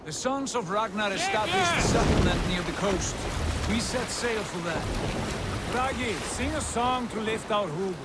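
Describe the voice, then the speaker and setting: Proud, high-voiced Viking prince. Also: male voice, animated, unhurried, very noisy. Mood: visionary pride. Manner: grand, declarative. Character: proud, ambitious Viking prince and longship leader. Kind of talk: cinematic video game acting.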